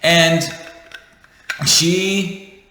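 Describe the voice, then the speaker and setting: Man in his 30s, vlogger, bright tense tone, jokey storyteller, recorded in a reverberant room. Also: fairly high pitch, level tone, very slow, slight background noise. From United States. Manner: chatty, jokey, self-correcting. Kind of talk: casual storytelling to camera. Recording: echoey room with long reverb.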